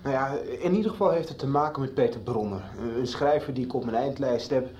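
Guarded, uneasy teen in radio soap; low-pitched, soft-edged, slightly dull recording. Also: female voice, fast, a little hiss or hum. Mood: evasive, preoccupied. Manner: evasive, hesitant. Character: secretive, anxious teenage schoolchild. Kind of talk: Dutch radio soap acting.

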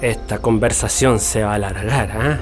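An actor playing an earnest, talkative veteran Chilean union leader in a radio drama. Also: male voice, lowish voice, moderate pace, over music, close to an everyday voice. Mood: reminiscing, earnest. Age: older.

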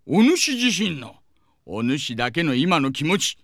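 A man's voice: a little high, sing-song, measured pace, dry recording, studio quality. A man playing a sage old man who talks in preachy, old-fashioned elderly speech in a comedic audio drama. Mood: preaching. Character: sage old man giving soulful advice.

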